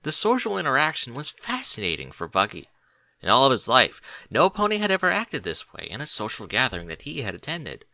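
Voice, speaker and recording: A man's voice: mid-range voice, huge pitch swings, a little fast, creaky, bright, forceful tone, no echo. A man reading a story aloud as scripted narration. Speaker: audiobook reader of fan fiction.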